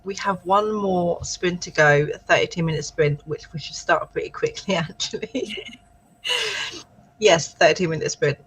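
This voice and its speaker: Husky-voiced British woman, chatty. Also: lowish voice, moderate pace, poor audio. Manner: chatty, encouraging, thinks aloud. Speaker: livestream co-host. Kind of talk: casual writing-sprint livestream chat, reading viewer comments.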